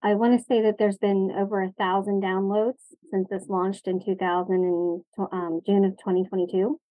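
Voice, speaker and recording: Flat-voiced host woman on a dull line. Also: medium pitch, normal speed, no room sound.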